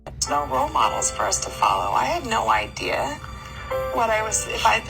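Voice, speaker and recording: A woman speaking haltingly in a reality show confessional interview. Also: on the high side, huge pitch swings, creaky, over music, low-quality recording. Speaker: reality show cast member.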